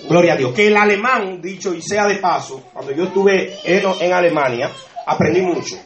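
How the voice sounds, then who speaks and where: A man with a Dominican Spanish accent, a pastor preaching an exuberant sermon with shouts of praise and laughter. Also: sits high, moderate pace, muffled recording, slight background noise. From Dominican Republic. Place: church hall. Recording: echoey church with congregation.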